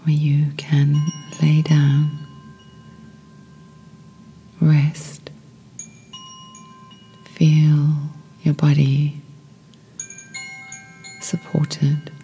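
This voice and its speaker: Female meditation guide: low, hushed and breathy with creaky edges, soothing short phrases and long pauses over clear music. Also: monotone. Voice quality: breathy, often whispery. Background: meditation music with singing bowl tones.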